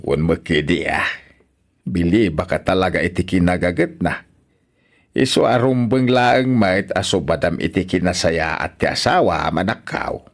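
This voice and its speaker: A man's voice: low voice, animated, normal speed. A man playing a nosy older father-in-law in a radio drama, teasing and needling. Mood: probing.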